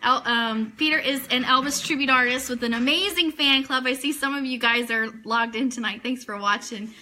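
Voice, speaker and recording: Bright-voiced woman hosting a chatty show. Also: sits high, normal speed. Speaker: host of an Elvis fan radio show.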